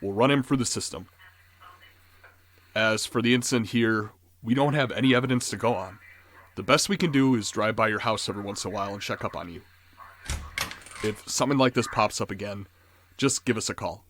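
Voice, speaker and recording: A man playing a calm, sceptical police officer in an audio drama, speaking in a measured, formal way. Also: lowish voice, a little hiss or hum, close to an everyday voice. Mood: calm, dismissive.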